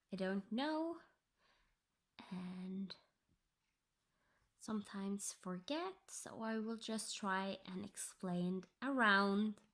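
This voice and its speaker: A Norwegian woman, English her second language, chatting softly about her cross-stitch project and often dropping into a whisper. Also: mid-range voice. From Norway. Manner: slow, soft-spoken, drifting into whispers. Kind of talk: casual tutorial chat.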